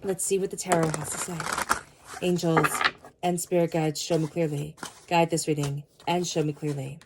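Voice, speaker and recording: A woman with a cold giving a tarot reading, speaking earnestly and slowly repeating her invocations. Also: sits low, little pitch movement, very noisy. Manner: soothing guided meditation. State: has a cold. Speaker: tarot reader.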